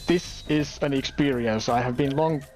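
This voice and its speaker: A man's voice: medium pitch, normal speed, darkish tone, faint music behind. A Finnish man in his forties, a tournament player speaking English modestly and carefully in a casual chat. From Finland. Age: 40s.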